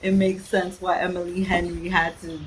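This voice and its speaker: A woman's voice: on the low side, little pitch movement, moderate pace. A blunt, sassy woman ranting in a casual reading vlog, repeating phrases for emphasis. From the Bronx, New York. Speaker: book vlogger. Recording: noisy home vlog recording.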